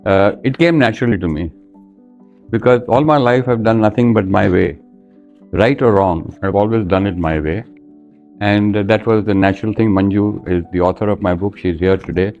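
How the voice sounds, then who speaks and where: A man's voice: sits low, normal speed, darkish tone, muffled recording, faint music behind. A man in his seventies from India with an Indian accent, telling his life story conversationally as an interview guest, in a plain-spoken, anecdotal way. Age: 70s.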